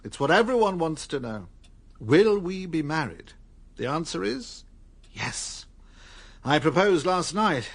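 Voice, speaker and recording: Radio drama role: polite young-sounding lord and officer, earnest, breathy, fairly high, expressive voice. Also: male voice, measured pace, long pauses, full character voice. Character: courteous, earnest male aristocrat and returned army captain.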